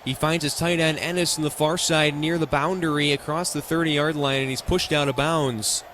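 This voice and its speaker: A man's voice: fairly high pitch, fairly even intonation, normal speed, a bit bright, slight background noise. An American man doing live radio play-by-play of a football game in clipped, present-tense phrases.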